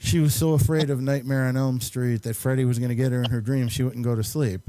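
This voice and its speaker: A man's voice: mid-range voice, fairly even intonation, quick pace, warm tone, slight background noise, low-quality recording. A man talking casually as an interviewer, chatting and putting questions to a guest.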